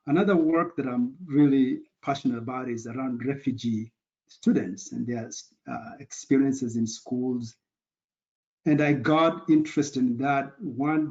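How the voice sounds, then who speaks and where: A middle-aged man with a Kenyan accent, answering interview questions in an earnest, measured way and often pausing to search for words. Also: medium pitch, fairly even intonation, a little mellow. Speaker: interview guest, professor. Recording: dull lo-fi audio.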